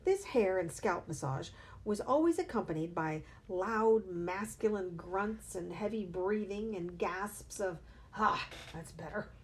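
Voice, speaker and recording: A woman, a teacher, reading a children's novel aloud with expressive storytelling. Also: mid-range voice, unhurried, a little hiss or hum.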